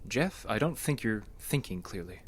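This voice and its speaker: An actor in an audio drama playing a composed, probing male psychotherapist with a measured, dry, clinical manner. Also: on the low side, lively intonation, breathy edge. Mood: composed, challenging.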